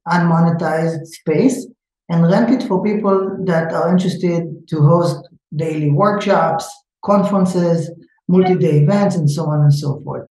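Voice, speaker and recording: A man's voice: on the high side, a little slow, a little mellow. Hesitant Israeli-accented man, echoey room. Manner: hesitant, many fillers and restarts. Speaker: startup founder. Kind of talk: interview answers.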